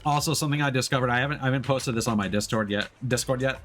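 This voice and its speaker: A man's voice: medium pitch, very fast, a bit bright, soft background music. A man giving casual, rambling livestream commentary with joking asides while he plays a game. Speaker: game streamer.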